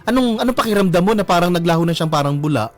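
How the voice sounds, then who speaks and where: A young man hosting a casual radio call-in show, teasing and full of expressive interjections. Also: high voice, rapid-fire. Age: 20s. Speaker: radio host. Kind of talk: casual live radio call-in chat, reading listener comments. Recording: music bed behind. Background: faint background music.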